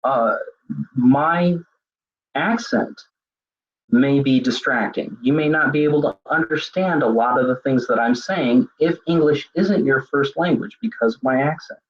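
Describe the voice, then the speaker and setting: A man's voice: mid-range voice, unhurried, dull audio. A man presenting a web seminar on leadership, speaking conversationally with frequent fillers and self-corrections. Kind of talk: lecture on leadership communication.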